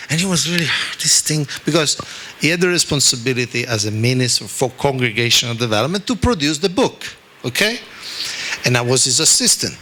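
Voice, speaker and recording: A man from Italy with an Italian accent, giving a scripture class in an animated, self-deprecating way, often checking in with "okay?". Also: medium pitch. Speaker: spiritual teacher.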